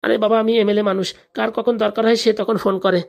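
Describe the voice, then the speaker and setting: Casting: man to play exasperated, henpecked husband, comic cartoon, quick plaintive protests. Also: high voice, level tone, vocal fry. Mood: defensive. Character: henpecked, exasperated husband, a small-time village politician.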